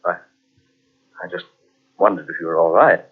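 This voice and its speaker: A man's voice: sits low, unhurried, slightly rough. An actor playing an anxious male houseguest in an old radio drama, talking with urgent insistence. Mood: insistent. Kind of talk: 1940s radio acting. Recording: dull old radio transcription.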